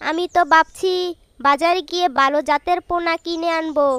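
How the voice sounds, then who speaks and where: Woman voicing a very high girlish cartoon wife bird. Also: steady tone, a little fast. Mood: determined. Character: young wife bird, eager and thrifty. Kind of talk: children's cartoon moral story dialogue. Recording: noisy track with birdsong behind. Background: birds chirping.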